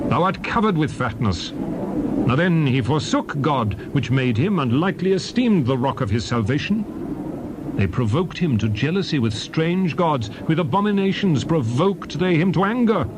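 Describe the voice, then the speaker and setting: An actor playing Moses as a stern, solemn old prophet, declaiming scripture in measured phrases. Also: male voice, medium pitch, background noise, lightly acted. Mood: reproachful. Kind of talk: dramatized scripture recitation.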